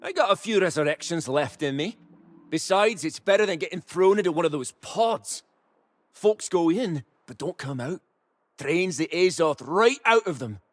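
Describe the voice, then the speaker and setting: An actor playing a reckless, folksy survivalist in a video game, boisterous and full of colorful frontier slang. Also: male voice, a little high, animated, normal speed, no echo, natural voice. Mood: boastful, wry. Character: gruff, reckless, folksy survivalist man holed up in a tavern. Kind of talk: video game character acting.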